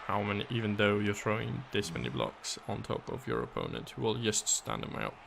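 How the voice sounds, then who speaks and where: A man casting a live video game match, his voice raspy and hoarse, thinking aloud through the plays. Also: on the low side, sing-song, a little fast, background noise. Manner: analytical, thinking aloud, thanks viewers. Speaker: game caster.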